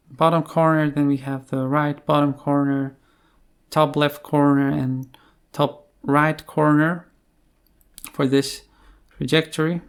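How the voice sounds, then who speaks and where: A man giving an unscripted tutorial explanation in a halting way, with many filled pauses. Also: a little high, creaky, clear, resonant tone. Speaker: programming tutorial presenter.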